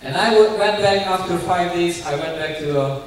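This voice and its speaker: A young man with a Ukrainian accent giving his church testimony in earnest, halting English full of restarts. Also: high voice. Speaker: guest speaker giving testimony. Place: church sanctuary. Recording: very echoey church hall, distant mic, faint hiss.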